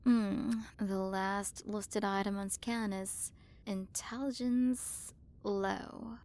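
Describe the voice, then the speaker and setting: A woman's voice: mid-range voice, fairly even intonation, extremely slow, a little mellow. A woman playing a haughty, possessive alien queen and scientist who talks down in a commanding, teasing way, in an ASMR roleplay. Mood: clinical.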